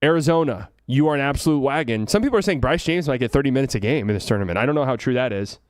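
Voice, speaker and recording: A man's voice: mid-range voice, expressive, rapid-fire, no room sound, clean studio sound. A man from Texas with a light Texan accent, hosting a casual solo sports talk show, rambling, joking and thinking aloud. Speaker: podcast host.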